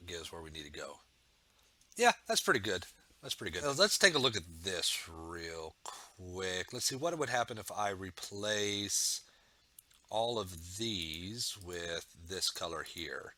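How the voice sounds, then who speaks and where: A man's voice: low voice, lively intonation, unhurried, sharp tone. A man talking through his building project while working, rambling and thinking aloud with many fillers, getting over a sore throat and a bit of a cold. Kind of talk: casual build commentary.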